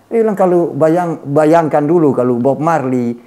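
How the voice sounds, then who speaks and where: A man's voice: on the high side, normal speed, mellow. Man, host. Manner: frequent murmured agreement. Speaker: interview host. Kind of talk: casual interview chat.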